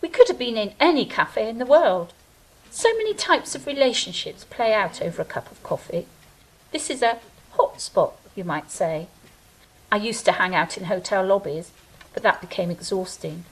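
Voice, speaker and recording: A woman's voice: medium pitch, big pitch swings, normal speed, breathy edge, rough recording. A woman playing an earnest, wistful angel in an audio drama, speaking in a plaintive, questioning and hopeful way. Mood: hopeful, dreamy. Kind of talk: theatrical audio drama dialogue.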